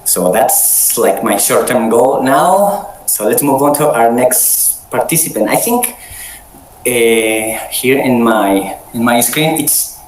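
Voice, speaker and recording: Slow, hesitant man with a cold. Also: medium pitch, animated, roomy sound, a little hiss or hum, poor audio. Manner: hesitant, many restarts. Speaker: meeting host. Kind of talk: casual online chat. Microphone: video call.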